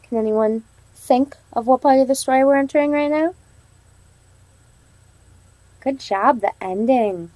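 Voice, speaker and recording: A woman reading aloud to a class as a teacher, pausing to ask questions and affirm answers. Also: sits high, a little mellow, no room sound, rough recording. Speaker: elementary teacher practicing a read-aloud lesson.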